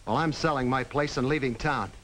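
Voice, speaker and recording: An actor playing an embittered war witness in a 1950s film drama, blunt and challenging, on an old soundtrack. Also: male voice, medium pitch, quick pace, a bit bright, a little hiss or hum, close to an everyday voice, rough recording. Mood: bitter, insistent.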